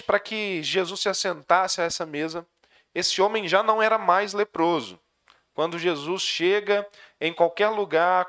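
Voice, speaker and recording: Brazilian pastor, light high voice, earnest sermon delivery, trails off softly at phrase ends. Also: male voice. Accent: Brazilian Portuguese. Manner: earnest preaching, voice dropping soft at phrase ends. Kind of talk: sermon with prayer.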